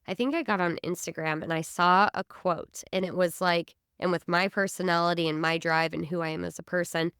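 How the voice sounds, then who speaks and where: Stuffy-nosed woman, low and smooth. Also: a little fast, few pauses, dry recording, studio quality. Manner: animated storyteller, laughs easily. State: stuffy from a cold. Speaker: podcast co-host. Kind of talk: casual podcast chat.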